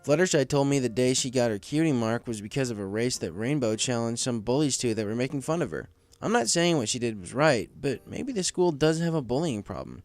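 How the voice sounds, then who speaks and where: A man's voice: medium pitch, lively intonation, fairly quick, natural voice. A man voicing an adult pegasus pony, a reasonable and reassuring parent who gives friendly advice in a story reading. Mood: advising. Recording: faint music under the voice.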